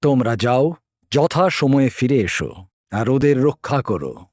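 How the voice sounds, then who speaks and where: An actor playing a calm, solemn father god in a mythological cartoon, measured and authoritative. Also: mid-range voice, no echo, studio quality, close to an everyday voice. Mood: solemn, protective.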